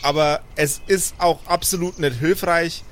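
A man playing an exasperated but caring adventurer in an improvised tabletop audio play, insistent and lecturing in a halting way. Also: a little high, steady tone, natural voice. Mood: insistent, reasoning. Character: exasperated, caring male adventurer, pleading for reason.

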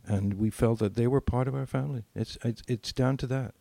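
A man's voice: mid-range voice, steady tone, fairly quick, gravelly, dark tone, no room sound. An older man telling anecdotes casually as a podcast co-host, acting out bits of dialogue as he reminisces.

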